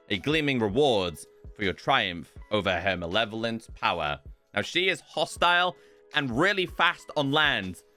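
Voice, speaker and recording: A man giving game showcase commentary as a video host, in an expressive, emphatic presenter's delivery. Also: medium pitch. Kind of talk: game showcase commentary, part scripted narration, part live reaction. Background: music.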